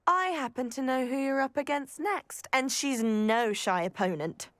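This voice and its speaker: A woman's voice: sits high, measured pace, a bit bright, no room sound. An actress playing a confident, competitive teenage witch schoolgirl in a video game, with a self-assured, teasing manner. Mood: sporting, wry. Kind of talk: video game cutscene dialogue. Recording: clear music behind the voice.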